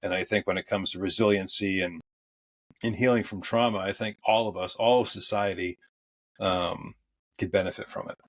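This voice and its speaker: Low-voiced man with a thick Boston accent. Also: normal speed. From New England. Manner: relaxed, chuckles often, many fillers. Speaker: guest. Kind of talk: podcast interview.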